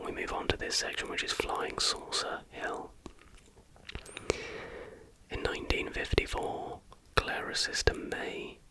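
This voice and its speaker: A man reading aloud in a soft, breathy, unvoiced whisper as an informal ASMR host. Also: poor audio.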